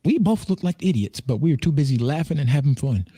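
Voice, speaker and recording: A man's voice: a little high, lively intonation, quick pace, dark tone, slightly rough, dry recording, studio quality. An American man in his thirties chatting casually as a co-host, joking, repeating himself and swearing freely. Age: 30s. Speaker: podcast co-host and streamer. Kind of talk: casual group chat.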